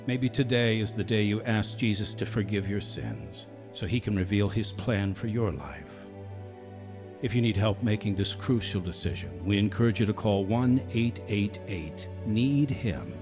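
A man hosting a radio drama, reading scripted announcements in an earnest, polished manner. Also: lowish voice. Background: music.

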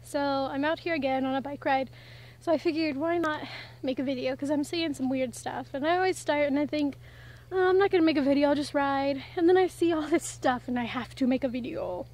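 A woman's voice: high voice, brisk, a little mellow. A woman in her twenties chatting casually to camera in a rambling, informal way, recorded on a handheld phone outdoors. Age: 20s. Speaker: vlogger. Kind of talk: casual vlog chat to camera. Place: outdoors on a rural roadside. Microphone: handheld phone at arm's length. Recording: noisy outdoor audio with ambience and traffic.

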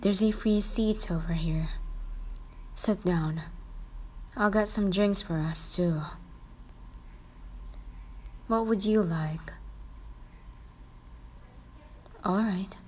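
Girl doing a cool protective teen boy, low breathy murmur, really slow, curt, roleplay asmr. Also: darkish tone. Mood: soft, caring. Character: protective, cool teenage boy, scornful to rivals. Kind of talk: romantic fan-fiction roleplay ASMR.

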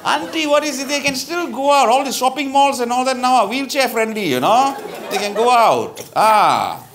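Animated, chatty Malaysian man. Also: high voice, background noise. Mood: persuasive, breezy. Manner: animated, blunt, colloquial with particles like lah. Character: chatty, tactless middle-aged lawyer humouring a friend's mother. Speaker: stage comedy actor. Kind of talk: live stage comedy sketch. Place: theatre stage with bar set, live audience. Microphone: lapel mic.

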